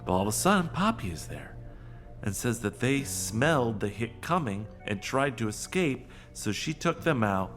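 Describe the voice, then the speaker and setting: An actor playing a neurotic, self-dramatising middle-aged boss in a comedic audio drama, rambling and theatrical. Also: male voice, mid-range voice, big pitch swings, moderate pace, fairly bright tone, soft background music. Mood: sheepish, rambling.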